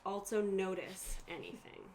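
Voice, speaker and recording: A woman's voice: medium pitch, flat delivery, measured pace, a little mellow. A woman who is getting over a cold, running a tabletop game and explaining the rules to her players. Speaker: game master. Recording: faint room noise.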